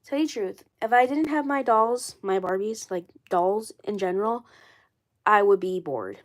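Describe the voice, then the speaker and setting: A woman in her twenties chatting casually on a livestream, hoarse and froggy from a cold, rambling and repeating herself. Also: mid-range voice, expressive, moderate pace. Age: 20s. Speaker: doll collector livestreaming.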